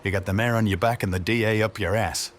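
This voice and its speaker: Audio drama part: cheeky wisecracking male sidekick, acted by a man, rapid delivery, somewhat low pitch. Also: fairly even intonation, natural voice. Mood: playful. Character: cheeky male sidekick mimicking cop-movie talk.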